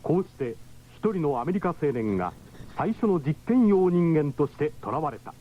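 An actor narrating a science-fiction radio drama in a scripted, dramatic style. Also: male voice, fairly high pitch, very animated, moderate pace. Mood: ominous. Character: dramatic narrator of a sci-fi tale. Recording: tinny 1970s radio, loud music.

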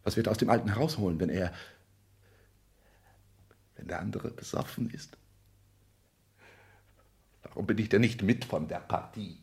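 An actor in a 1960s German radio drama playing a pompous, anxious social climber, agitated and scheming, in theatrical declamation. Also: male voice, on the low side, moderate pace, creaky, long pauses, darkish tone, a little hiss or hum.

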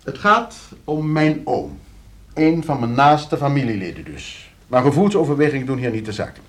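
An actor playing a coolly scheming, suave and condescending nephew in a Dutch radio play. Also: male voice, mid-range voice, expressive, moderate pace, husky. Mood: calculating, suave. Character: scheming, debt-ridden nephew coolly arranging his uncle's murder.

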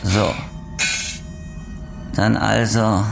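An actor playing a coldly menacing criminal interrogator in a crime radio drama, mock-courteous, taunting and deliberate. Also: male voice, fairly low pitch, little pitch movement, creaky, soft background music, exaggerated voice. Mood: sadistic, menacing.

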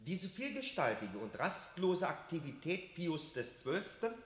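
An actor playing a meek, deferential papal secretary in a 1960s radio drama. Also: male voice, fairly high pitch, very animated, measured pace, roomy sound, in a large hall. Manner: humble, hesitant.

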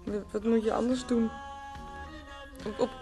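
An actress playing a tipsy, teasing, dreamy wife in a Dutch radio play, speaking haltingly and repeating her words. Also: on the high side, flat delivery, close to an everyday voice. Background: music playing.

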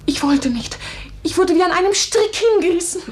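An actress playing a defiant, passionate young sister in love in a radio drama, intense and breathless. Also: female voice, very high voice, moderate pace. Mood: agitated, pleading.

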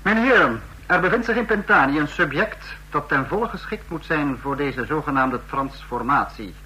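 Fascist leader in radio drama: higher-set, bright voice, formal, commanding, measured. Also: male voice, lively intonation, low-quality recording. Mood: coolly commanding. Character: stern male dictator of a fascist state. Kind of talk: radio play acting.

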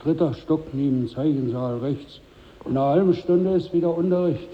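An actor playing an unhurried middle-aged school caretaker, terse and colloquial, in a 1950s German radio drama. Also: male voice, fairly high pitch, a little hiss or hum, close to an everyday voice. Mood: offhand, obliging. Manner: offhand, colloquial, terse, tag questions. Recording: dull old radio drama recording.

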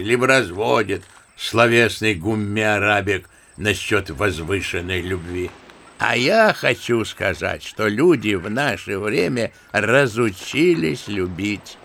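An old-school Russian gentleman, a grandfather in an audio drama, with a heavily creaky voice and an unhurried pace. He is a talkative, disillusioned storyteller who savours each word and theatrically mimics the people he scorns. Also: fairly low pitch, full character voice. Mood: wry, disillusioned. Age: older.

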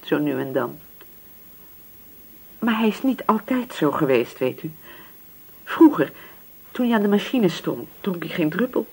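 A poor working-class widow in a radio drama. She sounds husky and anxious, grieving and submissive, often hesitating over her words. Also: fairly low pitch, big pitch swings, measured pace, pauses often, exaggerated voice. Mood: subdued, sad.

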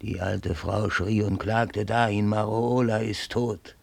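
An actor playing a sly one-eyed servant reporting to his raja, speaking in a measured, ceremonious storytelling manner in a radio drama. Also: lowish voice, steady tone, heavily acted. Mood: grave, conspiratorial. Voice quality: gravelly, husky. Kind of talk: solemn German radio drama acting.

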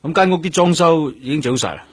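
An actor playing a sharp, demanding police investigator in a radio drama, firing probing, insistent questions in Hong Kong Cantonese. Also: male voice, on the high side, lively intonation, fast, slightly rough, no room sound.

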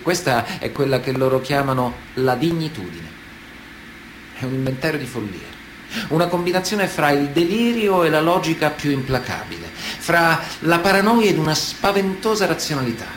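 A man's voice: on the high side, animated, moderate pace, fairly bright tone. Solemn male narrator over background noise. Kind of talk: scripted literary narration for radio theatre.

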